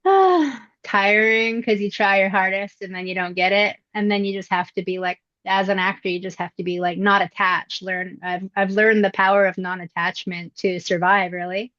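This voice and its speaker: A middle-aged woman with a young-sounding voice, talking about her acting career in a relaxed, reflective interview with frequent fillers. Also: medium pitch, moderate pace, hardly any pauses, no room sound, muffled recording. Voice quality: youthful-sounding voice. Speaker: voice actress, interview guest. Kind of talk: casual interview answers. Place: indoors at her mother's home.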